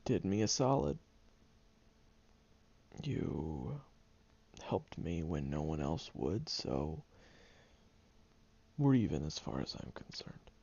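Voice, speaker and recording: A prickly young man who hides his soft side behind grumbling, played by a man in an audio roleplay. His deep, gravelly voice swings widely up and down as he hesitates and teases. The close recording sounds dull and lo-fi. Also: big pitch swings, takes it slowly, long pauses, exaggerated voice. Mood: earnest. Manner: grumbling, hesitant, awkwardly tender. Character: prickly gruff young man, secretly caring friend.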